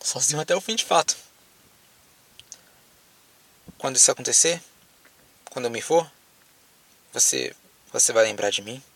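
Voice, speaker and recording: A man acting in an audio drama as a lonely, frightened apocalypse survivor with a Brazilian accent, speaking haltingly as if on a phone call. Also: mid-range voice, expressive, a bit bright, slightly rough, far from the mic. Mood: resigned despair. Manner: hesitant, halting, repeats words.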